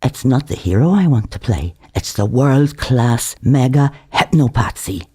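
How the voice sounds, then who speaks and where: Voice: mid-range voice, expressive, a little slow, a little mellow, husky, no room sound, clean studio sound. A narrator voicing a defiant, sardonic young Northern Irish woman in an audiobook, brash in manner.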